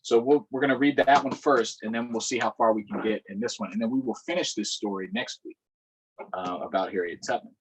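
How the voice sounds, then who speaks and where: A man's voice: mid-range voice, a little fast, breathy edge, poor audio. An American man hosting a livestreamed storytime for children, talking casually in an upbeat, conversational way.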